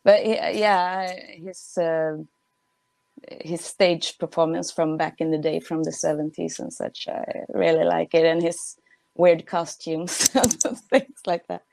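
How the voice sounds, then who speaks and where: A woman with a Swedish accent answers as a guest in a casual interview. She talks slowly and haltingly in a slightly low voice, often breaking into laughter. The sound is close and dry. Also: steady tone, creaky, darkish tone, dry recording. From Sweden. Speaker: guest, punk band musician.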